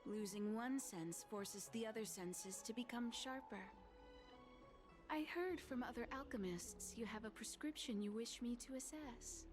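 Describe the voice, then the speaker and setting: Woman acting a gracious blind alchemist, game character, slightly high voice, measured, formal, courteous, composed, background music. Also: close to an everyday voice. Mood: gracious, calm.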